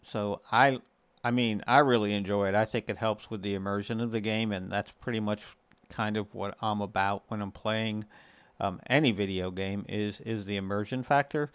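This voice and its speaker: Smooth low male voice, casual gaming tutorial host, measured explaining, short pauses, dry sound. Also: fairly even intonation, dry recording. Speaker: host of a gaming guide video series. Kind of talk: casual tutorial narration for a screen recording.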